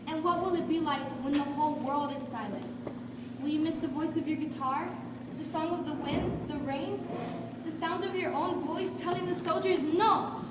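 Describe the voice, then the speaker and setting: A young student actor, a woman, playing a mysterious, impassioned motherly figure in a stage play, imploring and dramatic, with Spanish words mixed in. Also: very high-pitched. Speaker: student actor in a high school one-act play. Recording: echoey, noisy hall from far off.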